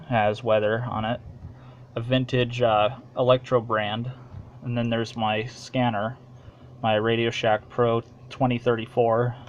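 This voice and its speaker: A man with an American accent giving a casual, halting, plain-spoken show-and-tell as a hobbyist making a home video. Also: mid-range voice, muffled recording, a little hiss or hum.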